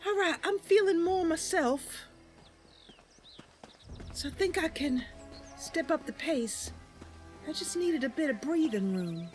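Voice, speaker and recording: A woman plays a young female wood elf adventurer with a high, bouncy voice. She is earnest and chatty, cheerful and a little artless, like a would-be knight in a fantasy game, with music behind her. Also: lively intonation, takes it slowly, long pauses. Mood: wistful, upbeat. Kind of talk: roleplay of a fantasy video game character.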